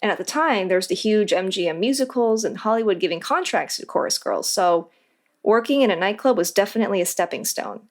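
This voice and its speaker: A woman's voice: medium pitch, animated, brisk. A woman answering as an interview guest, in a scripted but conversational explanatory style.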